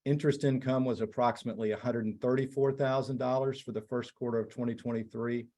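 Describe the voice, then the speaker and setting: A man, a finance chief, formally reading a scripted financial report in a measured, matter-of-fact way. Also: mid-range voice, steady tone, dark tone.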